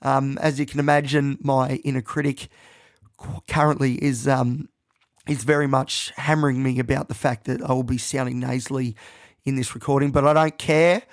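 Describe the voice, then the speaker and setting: An Australian man hosting his own podcast, talking casually and rambling with lots of ums, croaky and nasal from a head cold, self-deprecating about his voice. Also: medium pitch, little pitch movement, normal speed, dry recording, studio quality. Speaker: podcast host. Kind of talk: casual solo podcast monologue.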